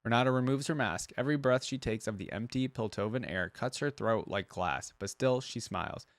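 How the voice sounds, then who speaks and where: A man's voice: mid-range voice, expressive, fairly quick, gravelly, no echo. A man hosting a reaction video, reading lore aloud and commenting in a conversational, explanatory way.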